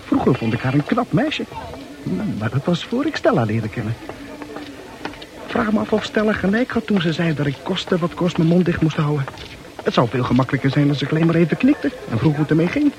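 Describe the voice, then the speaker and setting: An actor playing a fretful muttering bachelor. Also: male voice, on the high side, sing-song, fairly quick. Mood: fretting. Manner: anxious inner monologue. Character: nervous bachelor muttering his thoughts. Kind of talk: 1960s radio play acting. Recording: noisy old radio play recording.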